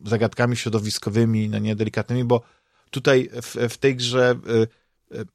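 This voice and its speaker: A man's voice: medium pitch, flat delivery, normal speed, no room sound. A Polish man speaks in a mid-range voice, recorded close and dry. He chats like a podcast host, loosely and hesitantly. He circles back over his words as he looks for the right phrase. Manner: hesitant, rambling, repeats words while searching for phrasing. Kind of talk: casual chat about games and comics.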